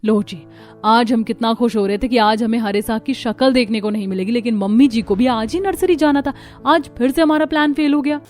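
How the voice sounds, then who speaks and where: A woman's voice: a little high, steady tone, a little fast, a little mellow, faint music behind, natural voice. A woman voicing a scheming, put-upon young daughter-in-law in a cartoon story, complaining dramatically. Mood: exasperated.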